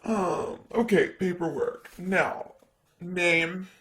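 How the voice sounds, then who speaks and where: A man's voice: high-pitched, drawn-out words, fairly bright tone, slight background noise, exaggerated voice. A man playing a sassy, vain, flirty ancient demon secretary in an improvised ASMR roleplay, with a teasing, casual manner. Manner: teasing, casual, drawling asides.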